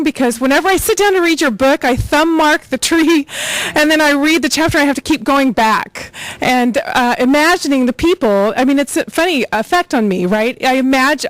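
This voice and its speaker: High-pitched chatty woman. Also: normal speed, no room sound, poor audio. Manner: chatty, self-interrupting. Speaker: audience member commenting. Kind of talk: casual spontaneous audience comment.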